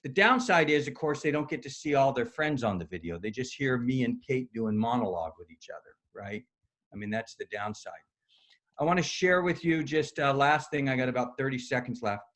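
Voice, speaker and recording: A middle-aged man, a yoga studio owner, giving a loud, upbeat, chatty screen-share talk over a video call, with lots of "right?" tag questions. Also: medium pitch, expressive, a little fast. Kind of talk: casual screen-share presentation.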